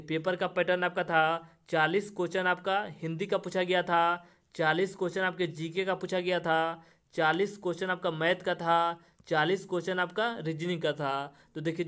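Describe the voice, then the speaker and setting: A man with a notably high-pitched voice talks to his viewers as a coaching teacher. He explains things informally and leans on repeated stock phrases, addressing the listener directly again and again. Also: fairly bright tone. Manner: repetitive, formulaic phrasing with frequent direct address. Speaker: exam-coaching teacher and channel host.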